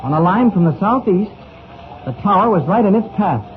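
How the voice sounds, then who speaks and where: Earnest reporter hero on old radio serial, highish voice, explains stuff deliberately, tinny recording. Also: male voice, lively intonation. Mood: suspicious, urgent. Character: earnest, confident male newspaper reporter mentoring a youngster. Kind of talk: 1940s radio serial acting. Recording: old narrow-band radio recording, noisy.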